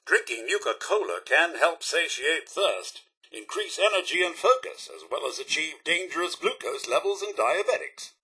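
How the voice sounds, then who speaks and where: A loyal robot butler from a video game with a British accent. The voice is bright and slightly husky, swooping theatrically across a wide range. He speaks with fussy, deferential politeness and formal diction. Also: on the high side, exaggerated voice. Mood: cheerful announcing. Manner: formal, deferential, fussily courteous. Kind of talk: video game character voice lines.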